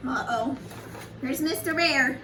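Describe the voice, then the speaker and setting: A woman's voice: sits high, slow. A woman reading a picture book aloud to young children, in a sing-song manner with chatty asides addressed straight to them. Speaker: children's storyteller, early childhood educator. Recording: roomy, distant mic, faint background noise.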